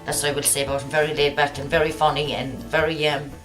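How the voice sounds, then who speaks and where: Fast-talking, chatty Irish woman. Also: sits low, level tone. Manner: chatty, emphatic, repeats phrases. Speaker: amateur actress being interviewed. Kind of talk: casual chat. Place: launch event at an arts centre. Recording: music playing in the background.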